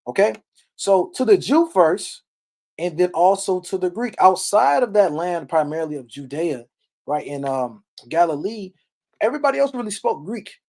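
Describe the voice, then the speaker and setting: A man's voice: high voice, lively intonation, moderate pace, darkish tone. A man giving read-along Bible teaching, reading verses and explaining them in informal, emphatic speech peppered with check-in tag questions. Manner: emphatic, frequent tag questions like "right?" and "okay?"; colloquial, informal preaching.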